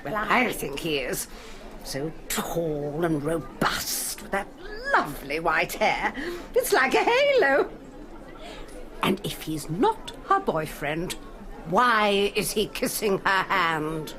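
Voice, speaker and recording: A woman's voice: fairly low pitch, sing-song, takes it slowly, fairly bright tone, exaggerated voice. An actress playing a gushing, gossipy society woman with an arch, coy, needling manner in a radio drama. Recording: clear crowd murmur behind.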